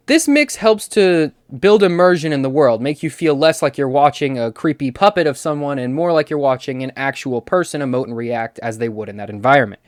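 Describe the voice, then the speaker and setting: A man presents casual video essay commentary in a close, dry recording with almost no room sound. His voice sits at an average pitch, and he talks conversationally, dropping in wry asides. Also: dry recording. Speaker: host.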